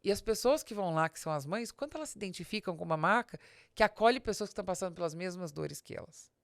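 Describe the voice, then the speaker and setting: A woman's voice: fairly low pitch, lively intonation, fast talker, no room sound, studio quality. A Brazilian woman giving an explanatory business podcast talk, speaking straight to the listener in a didactic way with rhetorical questions. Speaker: business advisor and podcast host.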